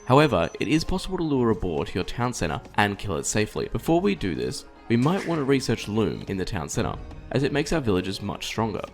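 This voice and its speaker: A man delivers scripted instructional narration for a game. His voice sits fairly low but moves with animated intonation as he guides the listener step by step. Soft music runs behind him. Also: quick pace.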